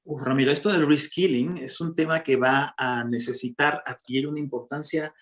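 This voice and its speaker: A man's voice: medium pitch, steady tone, a bit bright. Peruvian man explaining on a panel. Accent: Peruvian Spanish. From Peru. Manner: measured, explanatory, repeats phrases for emphasis. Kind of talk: panel discussion remarks.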